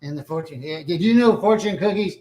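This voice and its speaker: A man's voice: a little high, brisk, warm tone, lightly acted, low-quality recording. Man reading as a genial small-town township official. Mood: chatty, friendly. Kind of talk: table read of a small-town comedy series.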